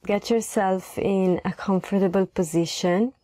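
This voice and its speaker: A woman leading a guided meditation in a soft, gentle voice, unhurried and pausing between phrases. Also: mid-range voice, fairly even intonation, over music.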